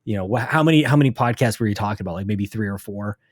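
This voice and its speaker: A man's voice: lowish voice, very fast, dry recording, clean studio sound. A man hosting a gaming podcast, talking in a casual, thoughtful way. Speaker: podcast host. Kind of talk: casual conversation.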